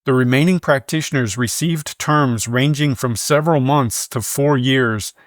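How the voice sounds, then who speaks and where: A man reading scripted news in a measured, formal manner. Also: mid-range voice, no echo, studio quality. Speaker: news reader.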